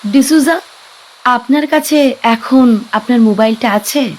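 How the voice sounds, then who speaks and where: An actress plays a practical, alert young woman in an audio horror drama. Her fairly high voice asks direct, polite questions, with a light hiss in the background. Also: slow pace, poor audio. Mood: polite, concerned.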